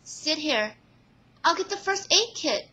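A woman's voice: sits high, lively intonation, slow pace, bright, forceful tone, pitched above the performer's own voice, exaggerated voice. A woman voicing a caring, flustered teenage girl who exclaims, fusses and teases in an amateur audio drama. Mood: alarmed. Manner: exclaiming, fussing, teasing.

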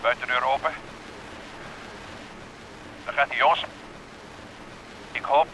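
A man's voice: mid-range voice, fairly even intonation, takes it slowly, noisy background, close to an everyday voice. An actor in a vintage radio play, playing a terse male diver searching a wreck. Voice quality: terse, short replies.

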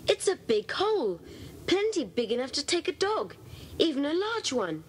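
High, eager British schoolboy. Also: female voice, sing-song, normal speed. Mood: eager, curious. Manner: eager, exclamatory. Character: inquisitive schoolboy member of a secret society. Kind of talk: children's audio drama acting. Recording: hissy old cassette tape.

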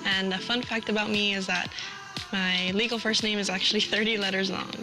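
Young woman from Hawaii, flat, casual interview read, music underneath. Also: medium pitch, fairly quick, rough recording. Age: young adult. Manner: casual, uses "like". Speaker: student scholarship recipient. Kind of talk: filmed interview.

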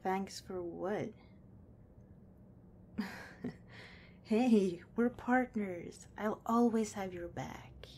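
Slow, mellow, affectionate policewoman played by a woman. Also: mid-range voice, slight background noise. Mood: caring, soothing. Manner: gentle, hesitant, giggly. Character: affectionate, shy young female cop comforting her partner. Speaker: ASMR roleplay performer. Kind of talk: intimate ASMR roleplay, soft-spoken.

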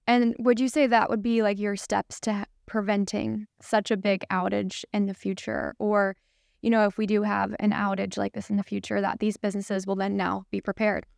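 A woman's voice: mid-range voice, flat delivery, normal speed, vocal fry, dry recording. A young woman hosting a podcast in a small studio, chatting in an upbeat, enthusiastic way. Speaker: podcast host. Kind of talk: casual podcast chat. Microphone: studio mic on boom at the mouth.

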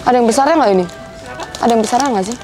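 A woman's voice: a little high, very fast, music in the background. A young woman vlogging casually as she browses a big supermarket, thinking aloud about what to buy. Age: young adult. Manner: chatty, thinking aloud while browsing shelves. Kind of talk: casual shopping vlog chat. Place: large supermarket indoors.

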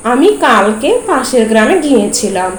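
A woman voicing a helpful male crow neighbour in a cartoon, calm and reassuring. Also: on the high side, moderate pace, roomy sound, a little hiss or hum, voice pushed down, close to an everyday voice.

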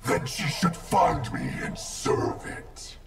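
An actor playing a menacing, arrogant undead wraith assassin in a video game, with a gravelly growl and a processed, ghostly sound. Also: male voice, takes it slowly. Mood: arrogant defiance. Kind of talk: video game fight intro dialogue. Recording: distant-sounding game audio under loud music.

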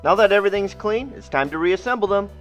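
Male DIY narrator, high voice with lively pitch swings, scripted tutorial delivery. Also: quick pace. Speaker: DIY host. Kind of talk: scripted how-to voice-over narration. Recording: muffled, band-limited voice-over with music. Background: music.

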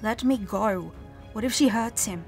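A woman's voice: mid-range voice, a little slow, darkish tone, faint music behind, lightly acted. A young woman playing a protective, defiant owl demon daughter in a cartoon comic dub.

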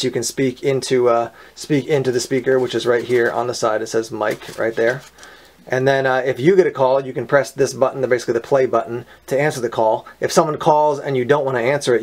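Gravelly man demoing a gadget. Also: medium pitch. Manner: relaxed, explains step by step with fillers. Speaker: product reviewer. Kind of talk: casual product demo. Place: outdoors on a paved patio.

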